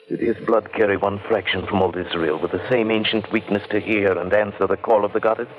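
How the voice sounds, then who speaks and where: An actor playing a troubled, inquisitive gentleman in an old-time radio drama, speaking in an earnest, literate, theatrical way. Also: lowish voice, phone-quality sound, close to an everyday voice. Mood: awed, dramatic. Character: troubled, inquisitive gentleman narrating an eerie tale.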